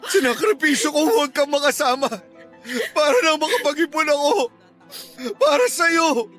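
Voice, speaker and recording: A man's voice: very high voice, lively intonation, normal speed, fairly bright tone, faint music behind. A man playing a betrayed, heartbroken lover, anguished and accusing, in a melodramatic radio drama. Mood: hurt, tearful.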